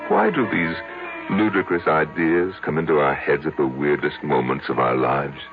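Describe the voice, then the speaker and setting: Very deep-voiced haunted man. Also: lively intonation, normal speed. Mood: bitterly bemused. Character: haunted man confessing a dark story to his psychiatrist. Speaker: narrator of the story. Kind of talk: radio drama acting. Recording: muffled old radio broadcast, loud music behind.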